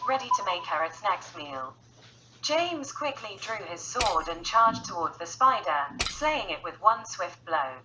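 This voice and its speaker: A woman's voice: medium pitch, poor audio. A woman narrating a scripted bedtime story for children in a storyteller's style.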